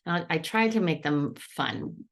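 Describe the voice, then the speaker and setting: A woman's voice: deep voice, lively intonation, a little slow, gravelly. A woman from Brazil, a coach and author, giving a chatty webinar presentation over a video call, with frequent fillers and playful asides. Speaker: guest speaker, coach and author.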